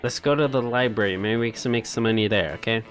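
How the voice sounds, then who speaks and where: A man's voice: on the low side, fast, soft background music. A man hosting a gaming video, reading the story aloud theatrically and adding casual commentary.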